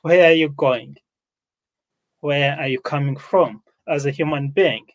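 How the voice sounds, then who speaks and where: A man's voice: on the high side, measured pace, highs cut off. A man with a Ugandan accent speaks off the cuff as host and speaker at an online summit, emphatic and repeating himself for effect.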